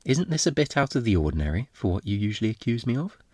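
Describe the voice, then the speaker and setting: Man playing a cocky flirtatious thief, low-voiced. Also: very animated, quick pace, mellow, no room sound, studio quality. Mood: smug, teasing. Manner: teasing, theatrical, sarcastic, swooping into mock quotes. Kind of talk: ASMR roleplay acting.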